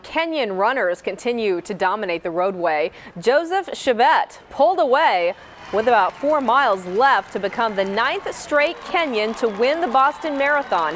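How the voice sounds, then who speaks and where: Female TV sports anchor, highish voice, scripted news read, slight noise behind. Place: TV news studio. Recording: faint background noise, 1990s TV broadcast.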